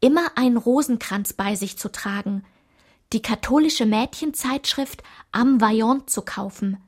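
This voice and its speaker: A woman's voice: on the high side, a little slow. A woman narrating a literary radio play, reading aloud in a composed way.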